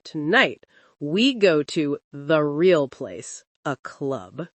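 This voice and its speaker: A woman's voice: low-pitched, sing-song, extremely slow, no room sound, pitched below the performer's own voice, exaggerated voice. A woman voicing a cocky, persuasive teenage schoolgirl who leads her friends, in a confident, coaxing storybook manner. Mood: proud.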